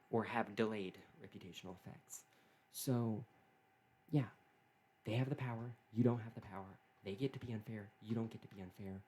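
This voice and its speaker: Low-voiced man, earnest halting monologue. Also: a little mellow, a little hiss or hum. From not British. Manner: earnest, halting, repeats and restarts phrases. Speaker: political commentator. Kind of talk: solo opinion monologue.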